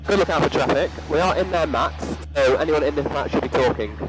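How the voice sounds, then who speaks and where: Young man, student pilot narrating each step mid-flight, loud music behind the voice. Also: fairly high pitch, a little fast, low-quality recording. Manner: narrating own actions step by step. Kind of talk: in-flight running commentary. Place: inside small light aircraft cockpit in flight.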